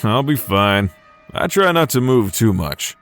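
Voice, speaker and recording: A man's voice: mid-range voice, sing-song, a little slow, fairly bright tone, faint music behind. A man voicing a laid-back outlaw gunslinger with a dry, teasing manner in a fantasy drama. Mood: wry, casual.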